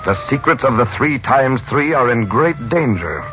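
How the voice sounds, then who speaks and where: An actor in 1940s radio style playing a grave, protective uncle who is a magician hero, speaking with measured authority. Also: male voice, medium pitch, animated, fairly bright tone, natural voice. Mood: commanding. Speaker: actor in a radio adventure serial. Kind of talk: 1940s radio drama acting. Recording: muffled vintage 1940s radio, music behind.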